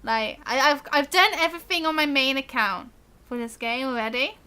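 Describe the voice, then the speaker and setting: A woman's voice: high-pitched, normal speed, sharp tone. A giggly, chatty woman giving casual live commentary while streaming a video game. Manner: giggly, chatty, reacts to chat.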